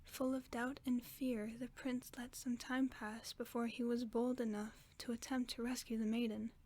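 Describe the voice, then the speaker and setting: A woman reading a fairy tale aloud in a slow, hushed whisper, as a sleep-aid narrator. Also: fairly high pitch, flat delivery. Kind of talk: soft whispered bedtime story reading.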